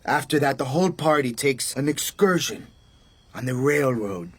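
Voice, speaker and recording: An actor playing a menacing, curt and crude gangster assassin in a radio drama. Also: male voice, medium pitch, lively intonation, moderate pace, breathy edge. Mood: commanding.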